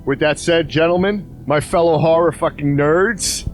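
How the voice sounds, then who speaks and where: A man's voice: fairly high pitch, unhurried, soft background music. A man co-hosting a podcast outdoors in freezing weather, bantering, laughing and swearing as he wraps up the show. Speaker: podcast co-host. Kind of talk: casual joking banter.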